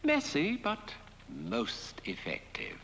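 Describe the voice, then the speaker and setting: A man's voice: medium pitch, wildly swooping pitch, gravelly, fairly bright tone, exaggerated voice. An actor playing a taunting, mock-tender dead man leaving theatrical, menacing messages in a horror-comedy film. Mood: gloating, mock-tender. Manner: theatrical, silky, drawn-out mock endearments. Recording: long hollow reverb, faint noise.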